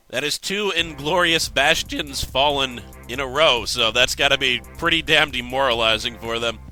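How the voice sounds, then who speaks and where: A man's voice: medium pitch, sharp tone. A young man with a congested voice, casually rambling through a game news roundup with self-corrections and joking asides. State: congested, just woke up. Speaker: host giving game news. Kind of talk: casual commentary.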